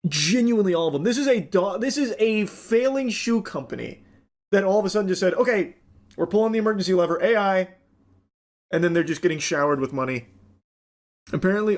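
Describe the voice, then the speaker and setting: A man giving casual, mocking commentary on a news article with dry sarcasm. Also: a little high.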